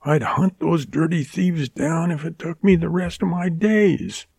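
A man's voice: on the high side, very animated, moderate pace, warm tone, slightly rough, no echo, studio quality, voice pushed up. A man voicing an earnest, tearful young ranch woman in a read western story. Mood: bitter. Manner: pleading, heartfelt.

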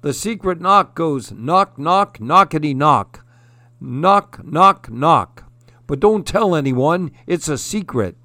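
A man voicing a gentle, miserable moose who longs to belong, in a plaintive, earnest children's storybook style. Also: fairly high pitch, lively intonation, slow pace, no room sound, exaggerated voice. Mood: glum.

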